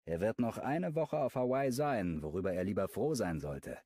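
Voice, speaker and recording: A man voicing a furious, protective brother in an audiobook, speaking loudly and agitatedly. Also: lowish voice, animated, normal speed, no echo, studio quality. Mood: tense, urgent. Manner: loud, agitated, raised voice.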